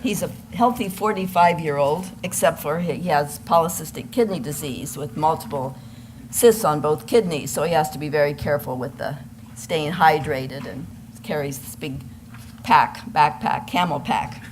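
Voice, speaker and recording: A woman's voice: sits low, normal speed, slight background noise. An older woman speaking at a worship service, reading announcements and concerns to a congregation. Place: church service.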